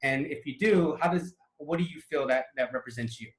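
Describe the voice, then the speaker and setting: A man hosting a relaxed panel conversation over a video call, chatty and loose, often repeating words as he goes. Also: a little high, brisk, roomy sound, low-quality recording. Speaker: panel host. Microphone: video call mic.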